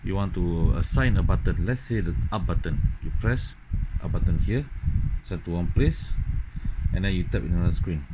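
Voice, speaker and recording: A man's voice: low-pitched, lively intonation, normal speed, very noisy. A man from Singapore with a Singaporean English accent, casually demonstrating a phone gamepad and thinking aloud with lots of okays and fillers. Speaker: gadget reviewer. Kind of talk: casual hands-on product demo. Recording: loud game music behind. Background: loud game music.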